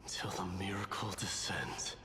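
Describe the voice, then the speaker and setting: Slow, solemn warrior lord. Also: male voice, sits low, animated, whispered. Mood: solemn, resolute. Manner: formal, measured, grave. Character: solemn, resolute undying warrior lord, grave and stoic. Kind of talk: dramatic video game voice acting.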